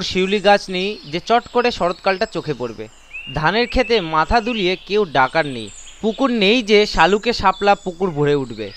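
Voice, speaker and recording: High-pitched man narrating a story. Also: fairly bright tone, slight background noise. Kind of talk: dramatised first-person horror story narration. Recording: constant nature ambience effects behind voice.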